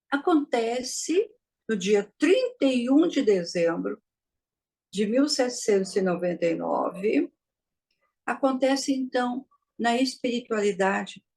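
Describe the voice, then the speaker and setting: A woman giving a teaching talk, measured and posing rhetorical questions to her listeners. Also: on the high side, a little mellow. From Brazil. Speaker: spiritist lecturer. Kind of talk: didactic talk to an audience.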